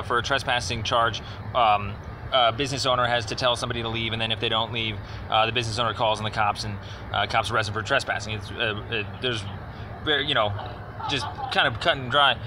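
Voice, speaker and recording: A man speaking as a caller making an informal inquiry, explaining at length in a halting, rambling, wordy way. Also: medium pitch. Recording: noisy phone call with outdoor traffic.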